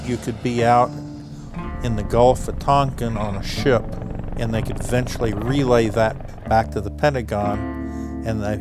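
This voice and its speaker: A man in his seventies with a mid-pitched voice talks as an interviewed engineer. He reminisces and explains things plainly, while guitar music plays clearly behind him. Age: 70s.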